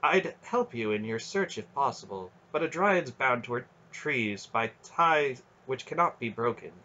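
A gentle dryad queen, voiced by a man reading a storybook, speaks kindly and evenly. Her voice is a little raised and husky, and the recording is dull and lo-fi. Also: mid-range voice, animated, pitched above the performer's own voice. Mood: fond, calm. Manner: soft, kind, measured speech.

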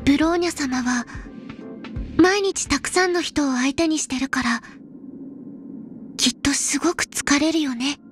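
A reserved young snowfield explorer in a game. Her voice sits very high, and she takes her time, with clipped, deadpan lines. Soft music plays underneath. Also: a little slow, pauses often. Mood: laconic. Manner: low-key, laconic, softly deadpan. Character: aloof young female snowfield explorer girl. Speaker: game character voice. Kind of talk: game voice lines, Japanese dub.

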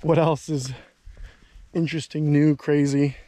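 A man's voice: on the high side, flat delivery, measured pace, warm tone, dry recording. A man in his thirties casually vlogging outdoors while walking along a country road, rambling and thinking aloud. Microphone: handheld camera at arm's length.

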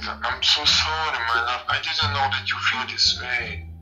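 A man's voice: mid-range voice, measured pace, bright, forceful tone, soft background music, poor audio. A young man chatting casually and affectionately on a video call, coaxing his partner. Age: young adult. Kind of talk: casual relationship chat. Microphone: video call, phone close to face, earphones.

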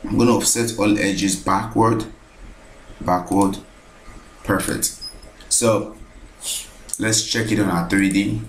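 A man with a very low voice talks slowly and steadily through a screen tutorial, saying each step aloud and often repeating it. His voice echoes in the room over a faint hiss. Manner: steady, repetitive step-by-step narration, thinking aloud. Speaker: architecture software tutor. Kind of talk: screen-recorded software tutorial narration.

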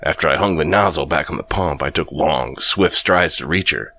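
Very deep gravelly male horror narrator. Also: normal speed, bright, forceful tone. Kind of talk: scripted first-person horror narration.

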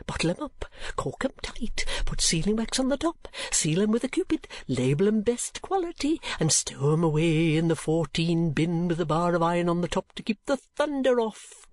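Florid, mad old gentleman, pitched up. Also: sing-song, no echo. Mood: excited, commanding. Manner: florid, pompous, drawn-out phrasing. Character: eccentric, courtly, mad old gentleman, grandiose suitor.

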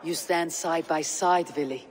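A male actor plays a young Viking war leader in a dramatic video game. The voice is slightly high for a man, measured and resolute. It carries steady command. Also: natural voice. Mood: grave, counselling.

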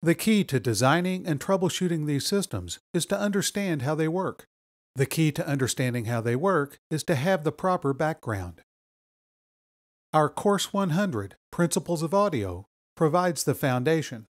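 A man with a slightly high voice narrates an online course as its instructor. He reads from a script in a measured, explanatory manner, laying things out step by step. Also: animated.

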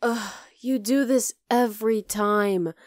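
Casting: actress as young wolf-girl roommate for ASMR roleplay, fond teasing with tender exasperation, unhurried delivery, close dry mic. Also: a little high, no echo. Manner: casual, exasperated but tender, sighing. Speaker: voice actor in audio roleplay. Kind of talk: ASMR roleplay, acted one-sided dialogue.

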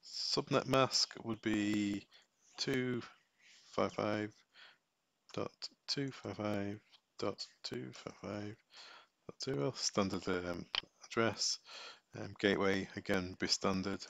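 A man giving a casual step-by-step software tutorial, thinking aloud as he works. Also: lowish voice, slow, creaky, pauses often, no echo.

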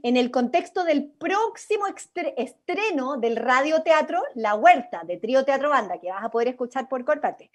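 A woman's voice: sits high, lively intonation, normal speed, few pauses. A woman casually hosting a workshop over a video call. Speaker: workshop host, theatre actor.

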